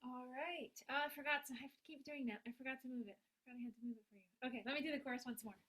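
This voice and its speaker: A woman's voice: sits high, very fast, a bit bright. A woman teaching a composition class online, giving encouraging and chatty feedback.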